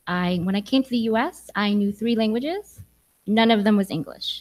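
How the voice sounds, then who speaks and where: A woman's voice: a little high, lively intonation, quick pace, a little mellow. A young woman from Sudan giving an earnest, encouraging speech to graduates in a church hall. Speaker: graduation speaker.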